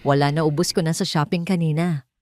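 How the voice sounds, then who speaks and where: An angry, materialistic mistress, acted out by a woman narrator. She snaps and complains with a sharp tongue, in melodramatic storytelling style. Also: low voice, steady tone, brisk, close to an everyday voice. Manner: snapping, complaining.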